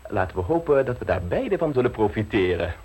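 An actor playing a smooth, self-assured scheming secretary in a radio play, teasing and unflappable, with a husky voice. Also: male voice, fairly low pitch, big pitch swings, fairly quick, darkish tone, poor audio. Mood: suave, scheming. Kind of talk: radio play acting in Dutch.

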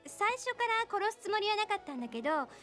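A woman's voice: very high voice, sing-song, fast, a bit bright, soft background music, full character voice. An actress playing a flighty, nosy young woman in a radio drama, talking in a casual, girlish, chatty and pleading way. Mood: conspiratorial. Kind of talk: radio drama acting, casual conversational Japanese.

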